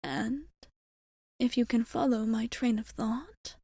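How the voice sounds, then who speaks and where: Woman playing a soft, creaky-voiced vampire bartender. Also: a little high, fairly even intonation, slow pace, no echo, full character voice. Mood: careful, earnest. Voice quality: breathy, often slipping into whisper. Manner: soft-spoken, hesitant, formal old-fashioned phrasing. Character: gentle, courteous female vampire bartender, tenderly devoted immortal. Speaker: ASMR performer. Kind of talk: ASMR roleplay addressed to the listener.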